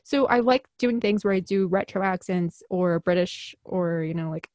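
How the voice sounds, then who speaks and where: Guest actor, woman with a Chicago accent, self-deprecating and laughing often; slightly low voice, dry recording. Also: moderate pace, darkish tone, dry recording. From US Midwest. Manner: chatty, giggly, self-deprecating. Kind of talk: casual podcast interview.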